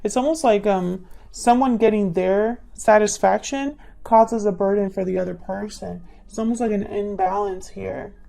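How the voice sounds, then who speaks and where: A woman giving a casual intuitive tarot reading to viewers, musing and pausing as she thinks aloud. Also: mid-range voice, vocal fry, mellow. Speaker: tarot reader.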